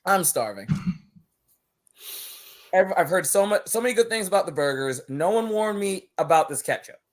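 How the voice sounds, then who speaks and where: Barbadian-born man telling animated stories. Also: high voice, normal speed. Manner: animated storytelling, laughing often, repeats words. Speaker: wrestler guest. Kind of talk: casual podcast interview.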